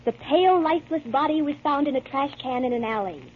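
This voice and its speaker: An actress as a high-pitched mock detective, old radio. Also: female voice, fast, lightly acted. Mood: mock-serious. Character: playful mock-serious female detective in a radio advertisement. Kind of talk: scripted 1940s radio ad sketch. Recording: thin, narrow-band old radio, faint hiss.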